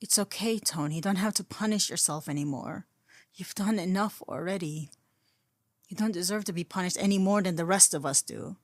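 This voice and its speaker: A woman voicing a calm, gentle male scientist, giving hushed and soothing reassurance in an audio story. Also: fairly low pitch, brisk, no echo, studio quality, close to an everyday voice.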